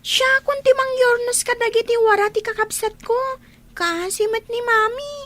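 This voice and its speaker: A woman's voice: very high voice, moderate pace, pushed and bright. A woman playing an innocent young daughter in a radio drama, speaking. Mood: innocent, earnest. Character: young daughter, innocent child defending her mother. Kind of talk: Ilocano radio drama acting.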